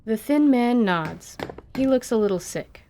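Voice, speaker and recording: A woman's voice: fairly high pitch, expressive, measured pace, dark tone, slight background noise. A woman narrator reading scripted, dramatic fantasy narration for radio theatre.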